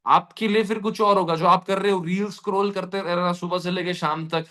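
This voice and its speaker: High-voiced man giving a sales pitch. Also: steady tone, a little fast, fairly bright tone. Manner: motivational, addresses listeners directly, repeats points for emphasis. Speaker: presenter of an earning opportunity session. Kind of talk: persuasive sales pitch to an online audience.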